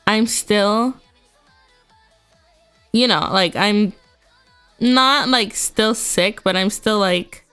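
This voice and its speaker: A woman's voice: medium pitch, drawn-out words, pauses often, fairly bright tone, clean tone. A young woman with a congested voice, chatting casually and rambling with lots of fillers as a livestream host. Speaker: VTuber streamer. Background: faint music.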